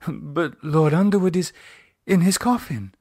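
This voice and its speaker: A man voicing a calm, concerned woman in a horror audiobook, gently and patiently reasoning with a madman. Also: on the high side, unhurried, voice pushed up. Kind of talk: audiobook character voice.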